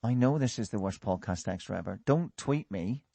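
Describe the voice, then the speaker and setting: A man's voice: lowish voice, animated, brisk, gravelly, dark tone, husky, no room sound. A man hosting a casual podcast chat, joking and laughing often and restarting his sentences. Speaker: podcast host.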